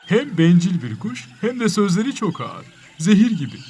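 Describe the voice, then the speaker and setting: Guy as a polite pleading schoolkid, high swoopy voice, reproachful, cartoon. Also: sing-song, normal speed, darkish tone, a little hiss or hum. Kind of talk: children's educational cartoon acting.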